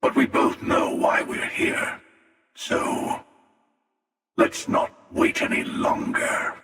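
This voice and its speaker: A man voicing a cynical, world-weary hunter in a video game, gravelly, sardonic and theatrical, with amused menace. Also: takes it slowly, fairly bright tone, shouting. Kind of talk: scripted video game character dialogue. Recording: cavernous echoing reverb, distant.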